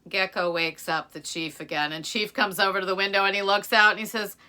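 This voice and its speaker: A woman with an American accent telling a folktale expressively, with dramatic pauses. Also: mid-range voice, steady tone, background noise. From United States. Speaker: musical storyteller.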